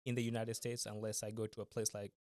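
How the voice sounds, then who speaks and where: A young man from Kenya speaks as a podcast guest, polite and measured, with a formal courtesy. His pitch stays level and flat. The recording is close and dry. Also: no echo. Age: 20s. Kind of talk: casual interview.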